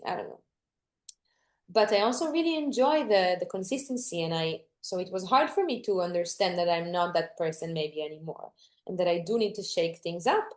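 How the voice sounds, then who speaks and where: A young woman from Greece, a dancer, talking reflectively in an interview, often restarting and searching for words. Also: fairly low pitch. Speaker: guest dancer.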